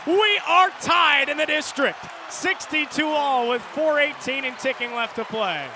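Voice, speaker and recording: An American man doing live radio play-by-play of a basketball game in clipped, energetic phrases. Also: very high-pitched, a little slow, a crowd in the background. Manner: clipped phrases, builds to excited calls on big plays. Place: courtside in a large indoor arena. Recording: live arena broadcast, loud court noise behind. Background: loud arena noise, bouncing basketball.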